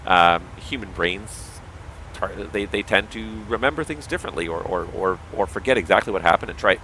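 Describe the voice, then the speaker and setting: A man's voice: sits low, moderate pace, pushed and bright, a little hiss or hum, poor audio. A man with a strained, tiring voice, a pilot streaming a livestream and explaining things at length with firm opinions. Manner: explanatory, opinionated, talks in long running thoughts. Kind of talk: casual livestream commentary.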